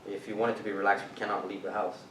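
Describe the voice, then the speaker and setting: A man's voice: fairly low pitch, little pitch movement, very fast, a bit bright, a little hiss or hum. A man from northeast Brazil with a Brazilian Portuguese accent, talking casually as a jiu-jitsu instructor, rambling with many fillers and restarts. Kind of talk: casual chat. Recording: roomy, distant mic, faint background.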